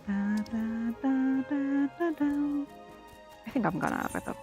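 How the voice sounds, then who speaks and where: A woman's voice: fairly high pitch, animated, moderate pace, gravelly, a little mellow. A woman whose first language is Valencian/Catalan, chatting casually as a streamer while playing a game. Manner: chatty, laughs easily, reads game text aloud. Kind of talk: casual chat while gaming. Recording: slightly dull lo-fi stream audio. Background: faint game music.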